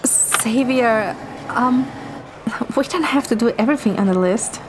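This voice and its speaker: A woman voicing a playful, slightly bashful young heroine in a romance game, with a casual, expressive delivery. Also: a little high, a little slow, noisy background, close to an everyday voice. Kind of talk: amateur romance game dub.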